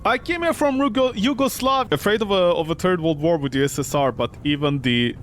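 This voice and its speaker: A man reads the part of an old woman tenant in a horror game, anxious and stubborn. The phrasing is formal and a little stilted, over a faint rumble. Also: brisk, lightly acted. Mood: worried, mournful.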